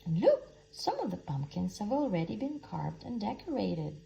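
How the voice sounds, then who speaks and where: Woman playing a lively, reassuring storybook bear. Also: mid-range voice, normal speed, creaky, muffled recording, a little hiss or hum, heavily acted.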